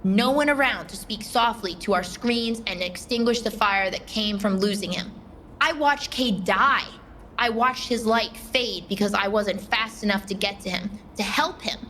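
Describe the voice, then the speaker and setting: A woman playing a defiant, impassioned soldier in an audio drama, speaking with forceful, emphatic indignation. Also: medium pitch, normal speed, a little hiss or hum, lightly acted, poor audio. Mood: grieving, bitter. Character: defiant, impassioned female soldier guardian standing her ground.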